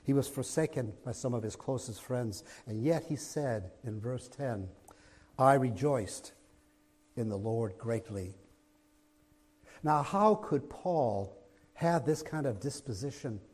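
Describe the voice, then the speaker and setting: A man with a raspy voice preaching a sermon as pastor in a church, going from a soft low opening to loud emphatic delivery. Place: church sanctuary, streamed online.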